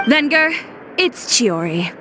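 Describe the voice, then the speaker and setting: A woman's voice: fairly high pitch, big pitch swings, takes it slowly, very noisy. A woman playing a confident, no-nonsense young fashion designer in a video game, direct, curt and self-assured. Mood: firm. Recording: clear music behind the voice. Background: background music.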